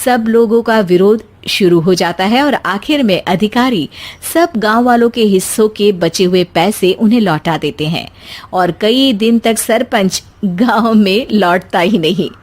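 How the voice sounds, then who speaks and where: A woman's voice: medium pitch, a little slow, darkish tone. A woman storyteller reading a scripted moral tale as narration.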